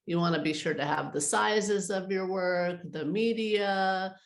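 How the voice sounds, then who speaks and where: A woman's voice: mid-range voice, a little slow, roomy sound. A woman speaking conversationally as an advisor being interviewed, explaining her work and giving practical advice. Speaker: interview guest, art advisor.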